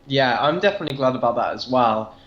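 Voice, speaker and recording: A man's voice: mid-range voice, lively intonation, fast talker. Opinionated man in a muffled, echoey room. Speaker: podcast co-host. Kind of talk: casual comic book review discussion.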